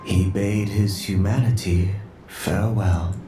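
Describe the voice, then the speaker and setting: A male actor playing a sinister, mocking chronicler in a video game, with hushed, theatrical irony. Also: deep voice, fairly even intonation, slow pace. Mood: sinister mockery. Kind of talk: dramatic video game acting. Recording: distant echoing voice over music.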